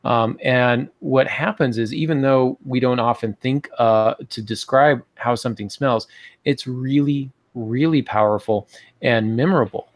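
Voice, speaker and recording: A man's voice: medium pitch, a little slow, no echo. An American man in his forties giving informal instruction as a podcast co-host on a video call, thinking aloud as he goes. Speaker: podcast co-host teaching fiction writing. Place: home office, on a video call.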